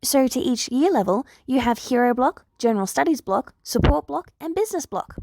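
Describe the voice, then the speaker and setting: A woman's voice: high voice, expressive, brisk, gravelly, a little mellow, dry recording, exaggerated voice. A woman voicing an earnest, eager older girl, a polite and persuasive hero student in a story.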